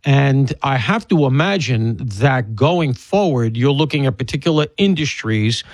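A man's voice: mid-range voice, lively intonation, measured pace, no echo. An older man with an Alabama accent, hosting an interview with wisecracking, self-deprecating humor. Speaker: radio host. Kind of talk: joking interview chat.

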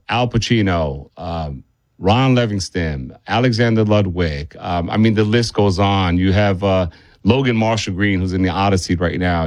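Deep-voiced man in an interview. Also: no echo. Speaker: film director being interviewed. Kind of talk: conversational interview about a film.

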